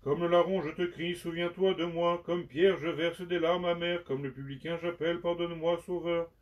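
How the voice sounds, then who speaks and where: A man giving a slow, solemn liturgical recitation of penitential prayers in measured, prayerful phrases. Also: on the high side, fairly even intonation. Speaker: liturgical reader. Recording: dull sound from far off the mic.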